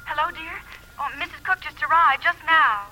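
A woman's voice: high-pitched, normal speed, sharp tone. A woman playing an affectionate American wife in a 1950s radio drama, speaking in an earnest, soothing manner. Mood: apologetic. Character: gentle, affectionate young wife on the telephone. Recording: noisy 1950s radio, telephone scene.

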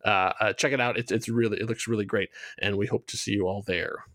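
A man's voice: on the low side, fairly even intonation, fast talker, fairly bright tone, no room sound, studio quality. A man speaking as a show creator in a casual promotional chat, rambling along in a jokey, self-deprecating way. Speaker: show creator being interviewed.

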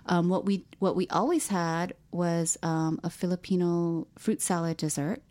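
Woman from hawaii, lowish voice, casual co-host, reminiscing, restarts sentences. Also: fairly even intonation, a little slow, a little mellow. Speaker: podcast co-host. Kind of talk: casual chat.

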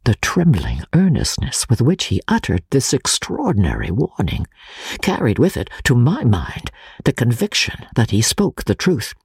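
Male narrator for classic fiction: dramatic, expressive pitch, markedly breathy and gravelly. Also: moderate pace, dry recording, studio quality. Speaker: audiobook narrator. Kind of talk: dramatic reading of a classic novel.

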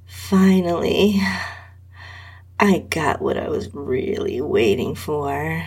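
Woman narrator, very breathy near-whisper, creaky edges, sultry drawn-out delivery, intimate adult storytelling. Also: deep voice, lively intonation, darkish tone. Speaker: podcast host and story narrator. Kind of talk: intimate first-person erotic audio story narration.

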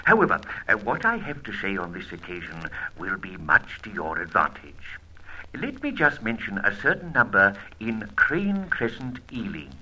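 A suave gentleman adventurer from an old radio serial. His speech is urbane and wordy, with very wide melodic swings and a slightly rough, husky tone. He sounds assured and gently mocking. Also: fairly low pitch, very animated, normal speed, full character voice, poor audio. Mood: teasing, suave. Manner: urbane, wordy, theatrical British gentleman diction. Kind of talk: old radio serial acting, with first-person narration.

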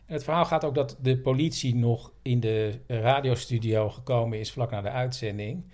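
A man's voice: mid-range voice, moderate pace, a little mellow. A man co-hosting a podcast, telling stories and explaining things in a casual, conversational way. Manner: explanatory, anecdotal. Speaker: podcast co-host.

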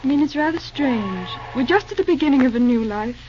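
A muffled, noisy vintage radio recording of a young bride-to-be with a high voice. She is genteel and coy, sweetly teasing her man while quietly holding her ground. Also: lively intonation, a little fast. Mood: dreamy delight. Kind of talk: old-time radio drama acting.

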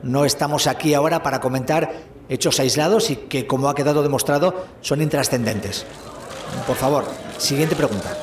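A man's voice: medium pitch, moderate pace, close to an everyday voice. A man playing a curt, controlling space-agency press officer, formal and firm, in an audio drama. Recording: echoey room with faint noise.

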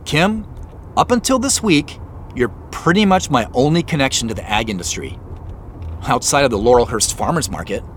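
A man's voice: mid-range voice, animated, normal speed, a little hiss or hum, natural voice. An actor playing a troubled, guarded man in an audio drama, reflective and earnest as he talks. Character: troubled, guarded man confiding in his sister and narrating. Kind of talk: audio drama acting and first-person narration.

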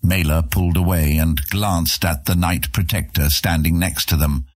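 A man narrating a fantasy audiobook from a script, with a husky voice. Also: sits low, steady tone, moderate pace, dry recording, studio quality.

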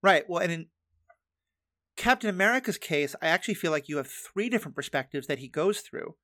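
A podcast host in a conversational interview, talking in animated, quick bursts and restarting sentences while working through ideas. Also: mid-range voice, no room sound, studio quality. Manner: animated, fast bursts, thinking aloud with restarts.

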